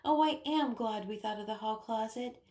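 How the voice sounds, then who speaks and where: A woman's voice: on the high side, moderate pace, dark tone, voice pushed up, lightly acted. A woman voicing an eager, polite young girl who talks earnestly and aims to please. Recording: roomy echo.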